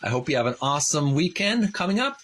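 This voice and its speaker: A man hosting a video channel, reading from a book and adding casual commentary in an earnest, deliberate way. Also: on the high side, very animated, fairly bright tone.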